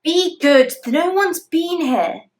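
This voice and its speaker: Woman doing a high, soothing mother dragon. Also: expressive, slow pace, echoey room, distant mic, full character voice.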